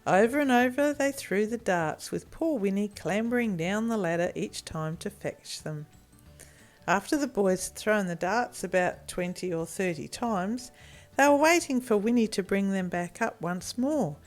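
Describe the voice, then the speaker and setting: A woman's voice: mid-range voice, lively intonation, unhurried. A woman tells a story for children with a lot of melodic movement in her voice. She takes her time, reading expressively over a music bed.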